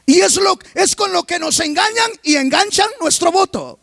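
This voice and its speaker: High, gravelly-voiced male preacher. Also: lively intonation, normal speed, a bit bright, no echo, clean studio sound. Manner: constantly poses questions to the congregation; reads scripture aloud between remarks. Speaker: pastor. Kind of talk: sermon.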